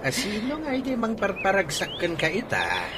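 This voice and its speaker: A man's voice: high-pitched, wildly swooping pitch, normal speed. A man playing an easygoing older father and big brother in an Ilocano radio comedy drama, speaking expressively. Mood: warm, curious. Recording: radio drama with clear background noise.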